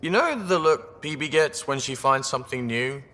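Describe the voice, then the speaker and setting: A man's voice: medium pitch, lively intonation, moderate pace, pushed and bright, in a large hall. A man playing an upbeat, chatty spaceship crewmate in a video game, talking casually. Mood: cheerful. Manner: casual, breezy.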